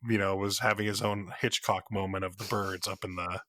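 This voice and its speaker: A man's voice: deep voice, little pitch movement, a little fast, bright, forceful tone, no echo. A man chatting casually as a player in a tabletop role-playing game. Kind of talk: casual chat.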